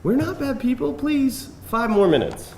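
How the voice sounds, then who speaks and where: A man playing a smooth-talking, pleading businessman with a persuasive, earnest manner at a live table read. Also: very high voice, big pitch swings, a little slow, full character voice. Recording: roomy live event, clear background noise.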